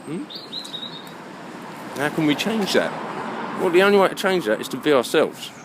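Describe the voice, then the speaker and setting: A man's voice: fairly high pitch, big pitch swings, moderate pace, background noise. A British man giving a rambling, opinionated monologue, emphatic and fond of rhetorical questions. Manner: emphatic, rhetorical questions, checks listener understands. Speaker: vlogger.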